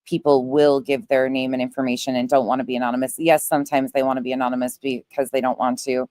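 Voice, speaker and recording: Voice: medium pitch, level tone, quick pace, a little mellow, no echo. A livestream host chatting casually in a conversational, explanatory way.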